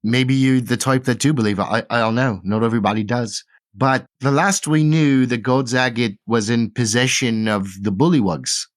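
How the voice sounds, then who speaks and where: A man's voice: mid-range voice, moderate pace, lightly acted. A man voicing a chatty female theatre manager with a breezy, folksy, hesitant way of explaining. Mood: helpful, musing.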